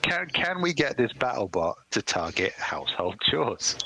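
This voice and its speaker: A man's voice: medium pitch, sing-song, normal speed, gravelly, sharp tone, husky, rough recording. A man co-hosting a podcast, riffing on absurd ideas in casual chat and laughing at his own jokes. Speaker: podcast co-host.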